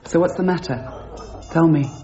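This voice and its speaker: A woman's voice: sits low, normal speed, mellow, highs cut off, close to an everyday voice. An actress playing a prim, composed married landlady, speaking articulately and insistently in a radio drama. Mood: probing, insistent. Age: 30s. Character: prim, probing married landlady in her thirties.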